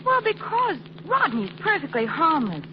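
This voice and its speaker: A woman's voice: very high-pitched, wildly swooping pitch, unhurried, background noise, heavily acted. An actress playing a charming young society woman in a 1940s radio drama, coaxing and cheerful, on a muffled old broadcast recording.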